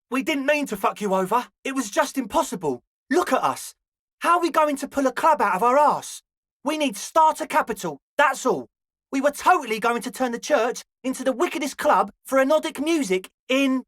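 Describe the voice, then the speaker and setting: A man's voice: very high voice, expressive, sharp tone, dry recording, studio quality, exaggerated voice. A male actor voicing a young, slangy club hustler in a video game, conciliatory and laid-back with a pleading manner. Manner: slangy, placating, drawn-out pleading. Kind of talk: video game character dialogue.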